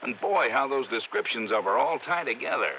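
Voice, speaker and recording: An actor playing an eager, pushy New York police lieutenant in a 1950s radio detective drama, talking in insistent, slangy tough-cop talk. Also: male voice, mid-range voice, animated, a little fast, natural voice. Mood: urgent, pressing. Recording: muffled vintage radio recording with faint noise.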